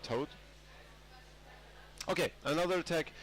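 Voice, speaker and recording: A man's voice: on the high side, a little slow. A man with a cold commentating a live match, rambling with many fillers and restarts. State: has a cold. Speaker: sports commentator.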